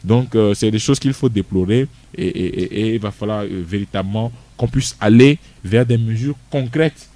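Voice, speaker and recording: A man's voice: lowish voice, moderate pace, hardly any pauses, mellow. A man, a guest on a radio discussion show, speaking emphatically and often repeating words as he restarts. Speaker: studio guest.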